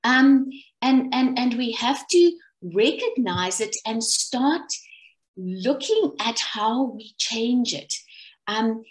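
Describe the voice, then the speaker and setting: A South African woman talking over a video call in a loose, conversational way. She wanders through her points, restarting sentences and filling pauses with ums, and sometimes apologises for her own humour. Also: on the high side, low-quality recording. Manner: self-deprecating, rambling with many restarts and ums. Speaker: webinar presenter. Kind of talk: casual conversational talk.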